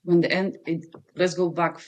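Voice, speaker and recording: A woman from Argentina with an Argentine Spanish accent, speaking English as a guest expert on a video call, lively and quick to laugh. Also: lowish voice, lo-fi sound. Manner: lively, laughs easily, non-native grammar. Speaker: human behavior expert guest. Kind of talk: conversational interview.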